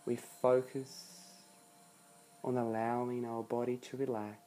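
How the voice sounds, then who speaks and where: Slow, mellow meditation guide. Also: mid-range voice, little pitch movement, a little hiss or hum. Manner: soothing, hushed delivery with long pauses between short phrases. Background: background music.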